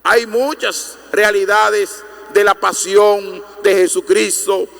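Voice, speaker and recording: A man's voice: high voice, very slow, creaky, bright, forceful tone. A man preaching a sermon in an emphatic, oratorical manner, using rhetorical questions and repetition. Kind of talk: Good Friday sermon. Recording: long echoing reverb of a large hall.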